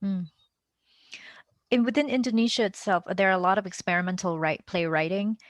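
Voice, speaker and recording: A woman's voice: medium pitch, a little fast, no room sound. A woman interviewer asking casual questions in a tentative way, rephrasing as she goes. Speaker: interviewer, podcast host.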